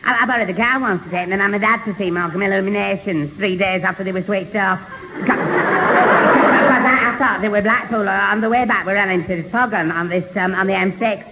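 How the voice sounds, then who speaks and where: An actor playing a dim-witted, eager young boyfriend, hesitant and stammering, in a 1970s radio sitcom. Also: male voice, sits high, fairly quick. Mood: earnest, dim. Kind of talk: 1970s radio sitcom acting before an audience. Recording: dull old radio hall recording, hiss.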